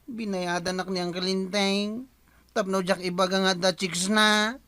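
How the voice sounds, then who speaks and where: A woman's voice: medium pitch, steady tone, unhurried, a bit bright, no echo, lightly acted. A woman playing a cheeky, nagging young relative in a comic radio drama. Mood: whiny, tattling.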